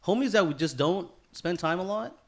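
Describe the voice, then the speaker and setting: A man's voice: sits high, animated, normal speed. A man hosting a podcast as casual chat, in slangy, profane bro talk. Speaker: podcast host.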